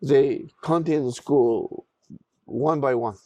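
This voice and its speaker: A middle-aged man with a Tibetan accent, speaking Tibetan as his first language, answers interview questions at a very unhurried pace. His voice carries a gravelly rasp, and the recording sounds dry and close. He talks haltingly, restarting phrases and pausing often. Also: medium pitch, mellow, no echo. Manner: halting, many restarts and fillers. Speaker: podcast guest.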